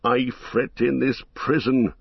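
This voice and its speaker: A proud old king weighed down by doubt, his husky voice rising a little above the reader's own as he speaks with grave, stately solemnity, as a man puts him on. The storybook reading is dry and a little muffled. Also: mid-range voice, level tone, takes it slowly, no room sound, heavily acted. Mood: brooding. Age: older. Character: aging troubled male king, proud, grave. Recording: dull lo-fi recording.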